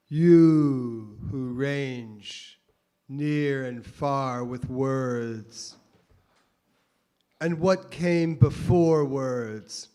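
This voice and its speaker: A man putting a question in a formal, ceremonial way, solemn and in short halting phrases. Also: medium pitch, darkish tone. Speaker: questioner addressing a poet.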